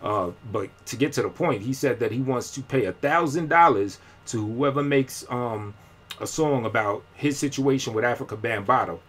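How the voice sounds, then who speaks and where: Man, casual live-stream chatter, slangy, rambling, mid-pitched voice. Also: normal speed, a bit bright. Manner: rambling, slangy, frequent fillers and restarts. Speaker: independent rapper and vlogger.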